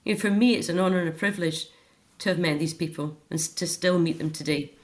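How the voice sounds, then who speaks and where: Lower-pitched Scottish woman, earnest and reflective. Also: fast. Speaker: interview guest, author and care home volunteer. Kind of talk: personal interview conversation.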